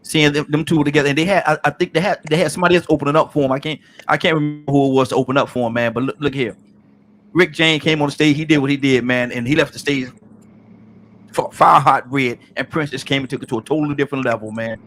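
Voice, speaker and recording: A man hosting a call-in talk show in a relaxed, chatty way, often restarting his sentences. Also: fairly high pitch, fast. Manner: laid-back, stammering restarts, frequent "man". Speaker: radio show host. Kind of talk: casual call-in show chat.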